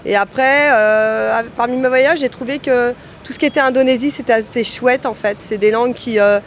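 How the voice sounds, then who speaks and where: A man's voice: very high-pitched, steady tone, moderate pace, a bit bright, a little hiss or hum. A man answering a street interviewer in a cheerful, self-mocking way.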